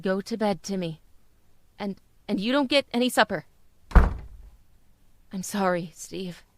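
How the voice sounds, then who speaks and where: A woman's voice: mid-range voice, unhurried, background noise, natural voice. A woman acting in an old-time radio drama as a flustered, protective young mother, polite and emotive. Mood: apologetic, tense.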